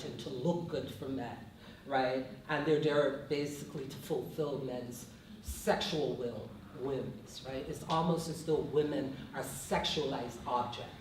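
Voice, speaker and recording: A woman's voice: low voice, a little hiss or hum. Guyanese woman speaking on an echoey panel. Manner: thoughtful, often adds "right?". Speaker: professor and panelist. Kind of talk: panel discussion talk. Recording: echoey room, mic far off.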